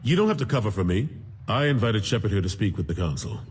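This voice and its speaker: Older military counselor, low voice, very varied intonation, earnest, blunt, game cutscene, faint noise. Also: male voice, sing-song, fast talker. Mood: frank. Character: gruff, loyal older male military statesman. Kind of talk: video game cutscene acting.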